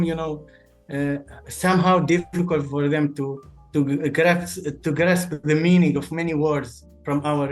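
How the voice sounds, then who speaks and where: A man in his thirties from Tunisia, a native Arabic speaker, talking over a video call and leaning on "you know" between phrases. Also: a little high. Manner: hesitant, fills with "you know" constantly. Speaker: guest, English teacher. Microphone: video call webcam. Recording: faint background music.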